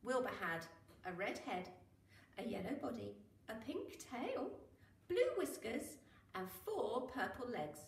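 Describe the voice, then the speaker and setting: A woman reading a children's story aloud in a dramatic, expressive way, with drawn-out emphasis on key words. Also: on the high side. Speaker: school teacher reading to children. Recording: roomy, echoing, noisy, distant mic.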